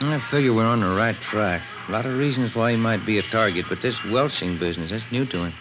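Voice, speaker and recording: An actor playing an easygoing, plain-spoken American detective's partner in a 1950s radio police drama. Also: male voice, on the low side, fast, over music, close to an everyday voice. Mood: casual. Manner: plain, conversational. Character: easygoing male police partner, homely and food-minded. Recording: vintage radio drama recording.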